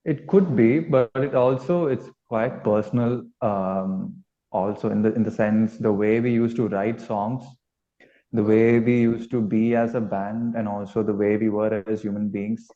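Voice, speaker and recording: Low-voiced Indian man, slightly dull audio. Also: steady tone, measured pace. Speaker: band musician, interview guest. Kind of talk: casual interview conversation.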